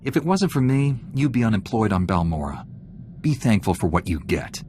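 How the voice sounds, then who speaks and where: A stern young warrior hero with a lowish voice and dry, curt delivery. It is video game cutscene acting with faint noise behind it. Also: male voice, big pitch swings, normal speed, a little mellow. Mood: wry.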